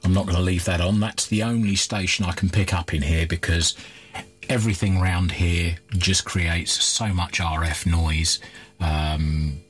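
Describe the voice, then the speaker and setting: A man's voice: low-pitched, fairly even intonation, unhurried. A man in his thirties casually chatting while repairing an old radio at his cluttered electronics workbench, rambling with fillers and restarts.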